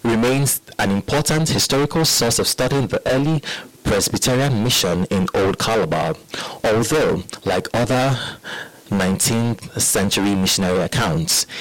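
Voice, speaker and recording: A man's voice: low voice, no echo, rough recording. A man hosting a morning radio segment, talking conversationally, explaining with examples and often repeating words. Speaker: radio host. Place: radio studio.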